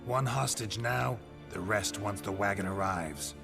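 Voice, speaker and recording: A man playing a stoic, sardonic monster hunter in a fantasy video game, gravelly, terse and deadpan. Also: on the low side, measured pace. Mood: coolly threatening. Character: stoic, sardonic male monster hunter, hard-bitten mercenary. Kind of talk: cinematic game dialogue. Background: music.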